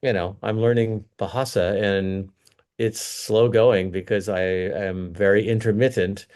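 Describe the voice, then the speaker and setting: Exec guy on an interview, lowish voice, kinda rambly, keeps restarting sentences. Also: steady tone, measured pace, darkish tone, smooth. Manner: earnest, rambling, frequent restarts and fillers. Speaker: company executive guest. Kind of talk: conversational interview answers.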